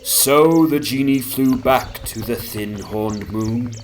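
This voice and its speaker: A man narrating a gothic tale as a dramatic reading, with solemn, measured literary delivery. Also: mid-range voice, slight background noise. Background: music.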